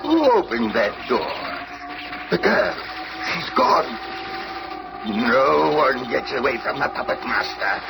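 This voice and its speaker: An actor playing a scheming, gloating puppet master villain in melodramatic 1970s superhero radio acting. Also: male voice, mid-range voice, very animated, creaky, exaggerated voice. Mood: menacing. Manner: melodramatic, drawn-out delivery. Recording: noisy old 1970s radio recording.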